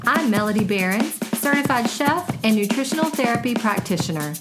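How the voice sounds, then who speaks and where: A woman's voice: a little high, animated, brisk, loud music behind the voice. A woman co-hosting a podcast with casual, chatty talk, laughing easily and poking fun at herself. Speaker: podcast co-host, chef. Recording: roomy echo.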